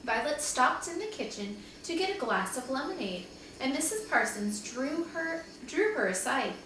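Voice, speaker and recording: Lively woman reading aloud, very echoey. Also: sits high, moderate pace. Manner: expressive, acts out dialogue with asides. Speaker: second-grade teacher reading aloud to pupils. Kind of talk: children's chapter book read-aloud. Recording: echoey, distant mic, noticeable background noise.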